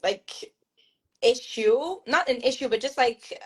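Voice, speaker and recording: A woman's voice: a little high, unhurried, slightly rough. A young woman with a Québécois French accent, French her first language, talking casually as an author guest on a video call, full of fillers and restarts. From Montreal, Canada. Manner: chatty, many fillers and restarts.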